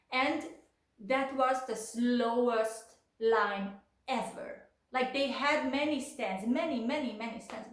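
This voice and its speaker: A young woman with a Hungarian accent chats her way through a storytime vlog, talking in English and leaning on filler words like "like" and "you know". Her voice sits a little high, and the room around her rings with a noticeable echo. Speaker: vlogger.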